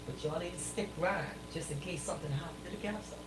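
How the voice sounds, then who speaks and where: A woman's voice: fairly low pitch, fast talker, heavy background noise. A woman co-hosting radio banter, opinionated and emphatic, hammering points home with repeated phrases and rhetorical questions. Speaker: radio show co-host.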